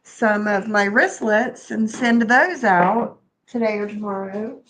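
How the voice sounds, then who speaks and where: A woman's voice: mid-range voice, slow, fairly bright tone, a little hiss or hum, poor audio. A middle-aged woman with a stuffy nose, chatting casually on a home livestream and greeting viewers by name. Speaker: livestream host. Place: bedroom at home, then kitchen.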